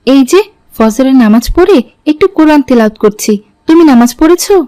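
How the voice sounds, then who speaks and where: A woman playing a devout, gentle mother in an Islamic cartoon, speaking in a measured, instructive way. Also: high voice, lively intonation. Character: devout, gentle mother guiding her children.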